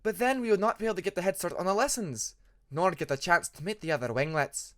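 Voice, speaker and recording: A man's voice: high-pitched, fast, a bit bright, pitched above the performer's own voice. A man voicing a studious, matter-of-fact young female dragon in an audiobook story.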